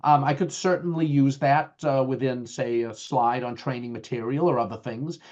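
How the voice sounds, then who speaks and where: A man's voice: mid-range voice, moderate pace, darkish tone. A middle-aged man with a Boston accent presenting a webinar in a loose, conversational way, full of fillers and restarts. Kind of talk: webinar talk with slides. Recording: dull lo-fi webinar audio.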